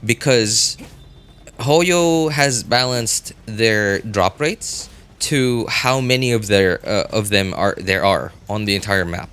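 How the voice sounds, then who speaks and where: Low-voiced man chatting casually on stream. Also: fairly bright tone, clear, resonant tone. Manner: halting, thinking aloud, repeats himself. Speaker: game livestreamer. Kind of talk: casual livestream chat.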